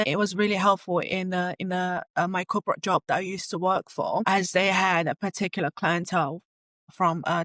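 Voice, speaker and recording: Young woman guest from Bulgaria, friendly and hesitant, full of fillers, mid pitch, dry close sound. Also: a bit bright, no echo. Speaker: guest, design studio founder. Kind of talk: podcast interview.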